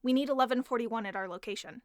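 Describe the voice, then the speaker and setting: Wry, higher-voiced policewoman played by an actress. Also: quick pace, dry recording, clean studio sound, natural voice. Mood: businesslike. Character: wry, brisk female police patrol officer. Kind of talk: audio drama acting.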